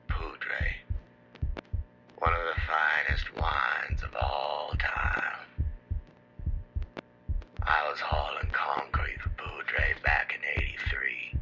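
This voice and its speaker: Extremely deep gravelly wine pitchman. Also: pushed and bright, full character voice. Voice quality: gravelly whisper. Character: boastful, folksy gravel-voiced wine pitchman. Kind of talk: parody radio advertisement. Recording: dull lo-fi sound over music.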